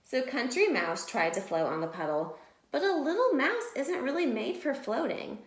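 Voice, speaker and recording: A woman teacher speaks to young pupils in an echoey room. Her delivery is lively and varied in pitch, as in a children's read-aloud, with simple, clear wording. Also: moderate pace. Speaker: teacher reading a picture book aloud.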